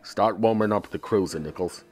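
A low-pitched, cynical policeman played by a man in a noir audio drama. He is curt and sardonic, tired of the job, with light music behind him. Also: normal speed, lightly acted. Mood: curt, stern. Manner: curt, world-weary, sardonic. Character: gruff cynical shady male police officer.

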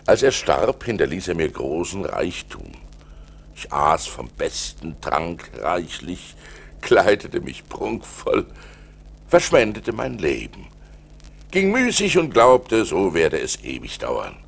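A wealthy old-world seafarer telling his story in a radio play. His low voice is dignified and deliberate, swinging very widely in pitch as he narrates. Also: creaky, breathy edge, heavily acted. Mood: reflective. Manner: deliberate, expressive.

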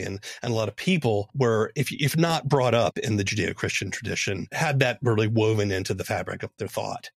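A man's voice: on the low side, no room sound, studio quality. A man hosting a conversational podcast interview with thoughtful, careful phrasing.

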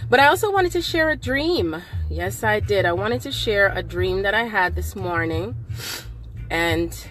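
A woman's voice: lowish voice, fairly bright tone. A middle-aged woman talking on an impromptu live video from inside a car at night. She is a little nasal from a cold and talks fervently, repeating affirmations. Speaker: spiritual livestreamer. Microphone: phone held at arm's length.

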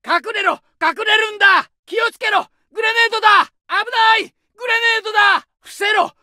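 A gruff young soldier, foul-mouthed and aggressive, shouting short orders in a high, bright voice. The recording is dry and close, with no room around it. Some lines come through a muffled radio. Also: male voice, fairly even intonation, moderate pace, no echo, heavily acted. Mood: alarmed. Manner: shouted short battle commands. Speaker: game voice actor. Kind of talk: video game combat barks.

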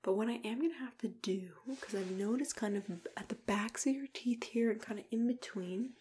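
A young adult woman in an ASMR roleplay as a dentist, speaking in a soft, breathy, mostly whispered voice with a gentle, reassuring manner. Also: a little high, moderate pace, a little hiss or hum. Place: small plain room indoors.

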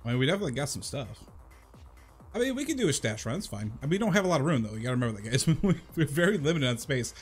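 A man's voice: mid-range voice, very animated, very fast, soft background music. A man in his thirties chatting casually to viewers as he plays a game, rambling and repeating himself in an informal way. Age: 30s. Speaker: video game livestream host.

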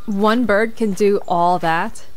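A woman's voice: medium pitch, steady tone, slow, a little hiss or hum, close to an everyday voice. A woman playing an upbeat, wry young woman in an audio drama. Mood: wry, curious.

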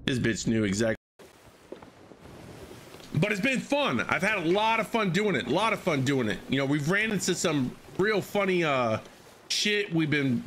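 A man's voice: on the high side, a bit bright, slight background noise. A man in his thirties live-streaming a game on a headset mic, chatting casually, repeating his phrases and swearing. He says he is losing his voice and keeps coughing. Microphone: headset microphone.

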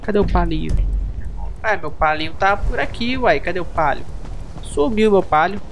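A man's voice: high-pitched, expressive, moderate pace, fairly bright tone. A young Brazilian man chatting casually and playfully in slang while streaming a role-play game. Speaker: gaming streamer. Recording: music under the voice.

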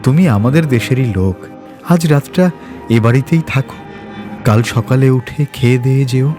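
A man voicing an anxious, kindly older mistress of a household in a storybook, urging gently in a motherly way. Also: mid-range voice, moderate pace, faint music behind, lightly acted. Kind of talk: storybook character voice.

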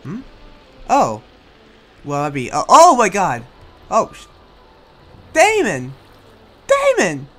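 Lively man in casual gaming roleplay. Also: high voice, takes it slowly, pauses often. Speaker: YouTube gaming host and roleplayer.

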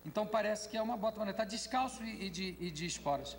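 An older man hosting a late-night talk show in a large studio, asking quick questions and teasing his guest. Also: sits high. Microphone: desk microphone. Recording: studio hall reverb, faint noise.

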